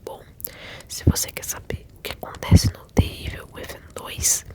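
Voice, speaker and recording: A Brazilian woman telling a story in a soft, intimate, soothing ASMR whisper. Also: moderate pace. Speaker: ASMR video creator telling game stories.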